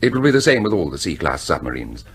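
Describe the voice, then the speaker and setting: An actor playing a cold, self-assured weapons scientist with a British accent, speaking precisely and with detachment in a radio drama. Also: male voice, low-pitched, big pitch swings, fast talker, slight background noise. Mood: matter-of-fact.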